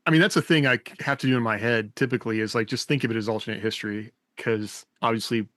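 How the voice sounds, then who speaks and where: A man's voice: mid-range voice, steady tone, fast, a bit bright, dry recording, clean studio sound. A man hosting a casual panel chat, thinking aloud in a somewhat hesitant way. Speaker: podcast host.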